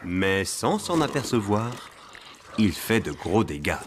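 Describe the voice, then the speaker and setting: A man narrating a children's cartoon story in an expressive, scripted storytelling manner. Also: fairly low pitch, takes it slowly, noisy background.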